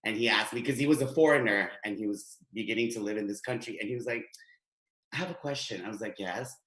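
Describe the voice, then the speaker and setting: A man in his thirties from Guatemala, doing a chatty, animated stand-up set over a webcam from his home. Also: lowish voice, fast. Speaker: stand-up comedian. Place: home room. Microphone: webcam, video call. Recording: echoey home room.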